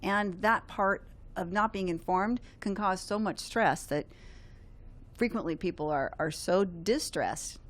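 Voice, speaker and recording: A woman's voice: medium pitch, a little slow. A woman hosting a real estate radio talk show, playful and joking with her co-host. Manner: playful, joking, laughs often. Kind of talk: casual radio chat. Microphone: studio microphone.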